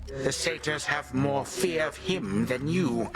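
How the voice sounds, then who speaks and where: An actor playing an ancient god of time in a video game, a sinister, condescending old villain who speaks in pompous, archaic, theatrical phrases and savors each word. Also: male voice, mid-range voice, slow pace, music in the background, close to an everyday voice. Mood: haughty disdain.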